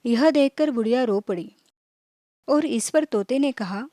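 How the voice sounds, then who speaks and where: A woman narrating a scripted children's moral story as a storyteller, in measured, clearly phrased reading. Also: on the high side, mellow, no room sound, studio quality.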